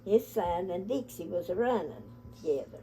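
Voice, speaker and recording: A woman's voice: fairly low pitch, lively intonation, normal speed, mellow, slight background noise. An older woman with an Appalachian accent, telling family stories in a casual, folksy, rambling way. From Appalachia, United States. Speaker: family storyteller, grandmother. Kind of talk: casual reminiscing with relatives.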